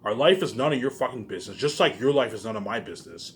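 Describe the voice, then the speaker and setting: A man speaks very quickly in an agitated, unscripted rant. He is confrontational, circles back on the same phrases and swears often. Some noise sits behind his mid-pitched voice. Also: animated. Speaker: online video maker.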